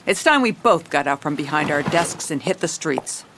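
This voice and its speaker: An actress playing a jaded, wry police commissioner who narrates in hardboiled detective style in an audio drama. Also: female voice, low voice, animated, moderate pace, noisy background. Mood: determined. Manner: wry, world-weary. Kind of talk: scripted noir detective narration with dialogue.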